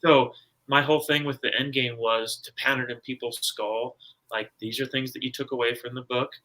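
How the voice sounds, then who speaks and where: A man's voice: medium pitch, steady tone, normal speed, sharp tone, poor audio. An American man talking casually as an interview guest, making his points in an emphatic, repetitive, colloquial way. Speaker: guest author. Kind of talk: casual conversational explaining.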